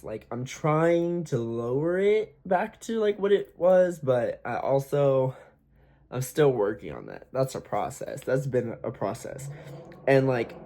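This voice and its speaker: A young man chatting candidly to camera about his singing plans, talking in a deliberately raised, slightly forced voice, swearing freely and using lots of fillers. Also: mid-range voice, darkish tone, slight background noise. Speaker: aspiring singer vlogger. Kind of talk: casual talk to camera.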